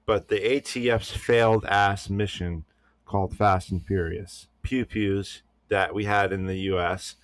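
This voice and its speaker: A man's voice: lowish voice, fairly even intonation, a little slow, no room sound. A man co-hosting a podcast, talking bluntly and with plenty of swearing. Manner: blunt, profane, opinionated. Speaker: podcast co-host. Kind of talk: casual podcast chat.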